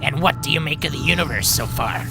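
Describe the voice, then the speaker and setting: Grumpy veteran robot doctor, acted by a man, very creaky gravel, highish pitch, sarcastic, blunt, audio drama, music underneath. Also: fast. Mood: dry, guarded. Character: grumpy, sardonic veteran male robot chief medic.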